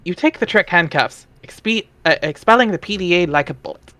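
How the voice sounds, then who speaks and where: A man's voice: sits high, lively intonation, fairly quick, pushed and bright, dry recording. A man narrating an amateur dramatic reading of a webcomic, wry and theatrical, with casual asides.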